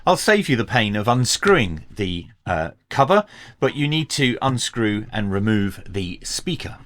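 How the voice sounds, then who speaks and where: Male reviewer, methodical and explanatory, medium pitch with lively inflection, dry recording. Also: unhurried, no room sound. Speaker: radio reviewer presenting a video. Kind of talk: hands-on product review commentary.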